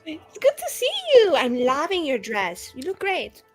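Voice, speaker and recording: A breezy, playful woman chats in character at a tabletop game. Her voice sits fairly high and moves around a lot, with soft music behind. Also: very animated, unhurried. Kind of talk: casual in-character chat.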